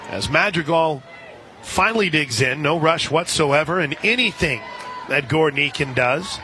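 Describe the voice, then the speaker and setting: An American man doing live radio play-by-play commentary of a softball game. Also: fairly high pitch, animated, moderate pace. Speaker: radio play-by-play sports announcer. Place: outdoor stadium broadcast position. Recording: crowd noise and music behind commentary. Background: stadium crowd and music.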